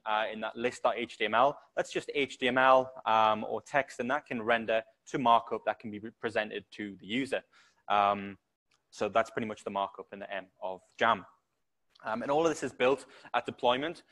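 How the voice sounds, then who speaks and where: A man with a Geordie accent speaks to a room of developers at a meetup. His voice sits fairly low, and he rambles along with plenty of ums and restarted sentences. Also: a bit bright. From England. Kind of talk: casual tech talk presentation.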